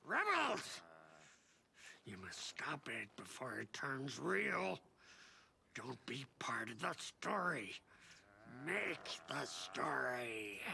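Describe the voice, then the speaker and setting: A boastful, drunken old eccentric for a video game, proud as a Viking. His gravelly voice is sharp and bright, and he draws his words out very slowly between erratic outbursts. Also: a little high, wildly swooping pitch, a little hiss or hum, exaggerated voice. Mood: fervent, defiant. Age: older. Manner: erratic, theatrical, swinging from muttering to shouting. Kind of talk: video game character acting.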